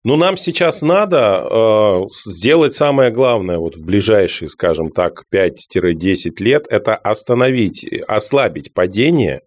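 A man with a somewhat low voice co-hosts a discussion. He speaks in measured, halting phrases, choosing his wording with care. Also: expressive. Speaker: co-host journalist. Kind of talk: discussion talk.

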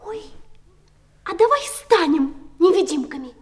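A woman's voice: very high voice, expressive, unhurried. A woman playing an eager, caring, slightly bossy little sister in a children's audio play, childlike and coaxing.

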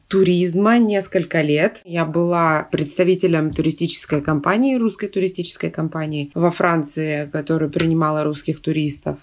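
A woman giving a measured, reflective personal account in a podcast. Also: fairly low pitch. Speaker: interviewee.

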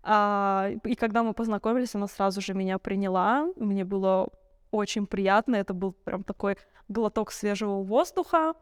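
A woman's voice: medium pitch, moderate pace, smooth, dry recording, clean studio sound. A young woman telling personal stories in a candid, conversational way, reflective and searching for words as a podcast guest. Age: young adult.

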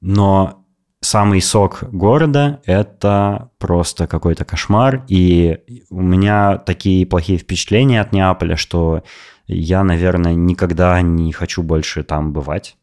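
A man hosting a podcast, telling a travel story in a lively, opinionated way in casual chat. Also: low-pitched, measured pace. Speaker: podcast host.

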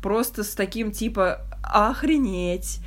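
A young woman with a mid-pitched voice talks as a podcast host. She is frank and reflective, keeping it conversational and often searching for the right phrase. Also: a little fast. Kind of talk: candid casual conversation.

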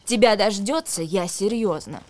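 A woman's voice: medium pitch, moderate pace, a little mellow, a little hiss or hum, natural voice. An actress playing a scolding wife who berates her drunkard husband in a verse radio play. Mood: scornful, scolding. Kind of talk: radio drama verse recitation.

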